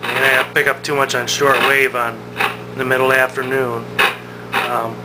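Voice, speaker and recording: A man giving a casual, unscripted demonstration as a hobbyist, talking in a halting, matter-of-fact way. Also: medium pitch. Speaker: hobbyist showing his vintage radio-phonograph. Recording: noisy home recording with steady hum.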